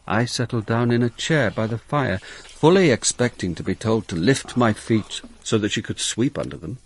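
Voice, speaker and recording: A world-weary detective telling his own story in a radio drama. The man's voice is husky and creaky, with an animated, wry delivery. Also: medium pitch, no room sound, heavily acted. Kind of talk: first-person radio drama narration.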